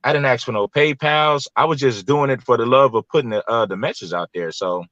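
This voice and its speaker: A man's voice: medium pitch, a little fast, a bit bright, dry recording, dull audio. An American man around his late thirties from Los Angeles, talking casually as an interview guest in a rambling, colloquial way, often repeating himself. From Los Angeles, California. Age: 30s or early 40s. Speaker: YouTube content creator, guest.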